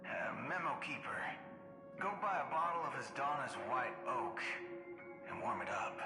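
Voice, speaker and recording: An actor playing a cocky, menacing gunslinger ranger with a folksy cowboy drawl in a video game. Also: male voice, medium pitch, sing-song, normal speed, lightly acted. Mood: amused, folksy. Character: cocky, menacing male gunslinger ranger tracking his prey. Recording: long echoing reverb, loud music behind.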